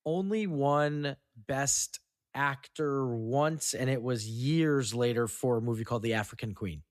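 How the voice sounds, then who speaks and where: A man's voice: medium pitch, unhurried, no room sound, clean studio sound. A man hosting a casual, joking film discussion and reading out trivia. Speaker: podcast host reading trivia.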